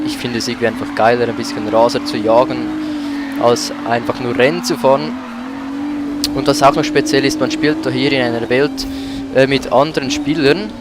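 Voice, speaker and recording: Man commentating a game over loud music. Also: mid-range voice. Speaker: let's play gamer. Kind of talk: casual gameplay commentary. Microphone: voice-over mic over game audio. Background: racing game car sounds and music.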